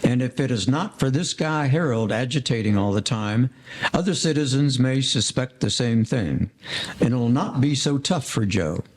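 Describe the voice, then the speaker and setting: A man playing an earnest, soft-hearted gangster in an audio play, using formal old-time slang. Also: mid-range voice, lively intonation, normal speed, close to an everyday voice.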